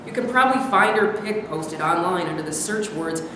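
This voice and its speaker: A woman's voice: on the low side, expressive, fairly quick, lightly acted. Woman acting a scornful critic in echoey hall. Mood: sardonic. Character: scornful, blunt woman mocking a man's self-deceptions. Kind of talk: staged play reading. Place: live staged reading in a reverberant room. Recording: very echoey hall with clear background noise.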